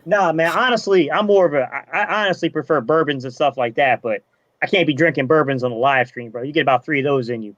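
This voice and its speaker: A man's voice: on the high side, quick pace, fairly bright tone, no echo, highs cut off. A man co-hosting a casual live-streamed sports podcast, talking in a tired, easygoing way and laughing often over a video call. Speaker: podcast co-host. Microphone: computer mic on a live video call.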